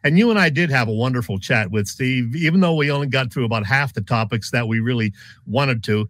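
A man's voice: mid-range voice, a little fast, a bit bright, dry recording. An American man co-hosting a sports show, talking and asking questions in a conversational style.

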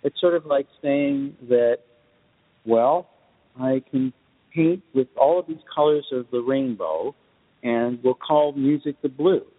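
A man with a husky voice explains his points in a deliberate, emphatic way, as in a podcast discussion. The sound is narrow and phone-like, with a long reverberant tail. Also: medium pitch. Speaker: guest film composer.

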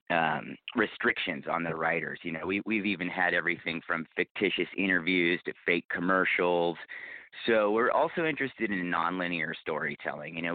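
Guy with a deep voice explaining stuff in an interview, measured, dry phone sound. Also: no echo. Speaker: podcast creator, interview guest. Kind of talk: thoughtful conversational interview. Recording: tinny phone-like line, dry.

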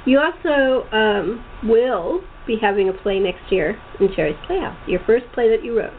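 A woman hosting a casual interview, speaking haltingly with repeated and restarted phrases. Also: on the high side, animated. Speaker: talk show host. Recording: tinny phone line with clear background noise.

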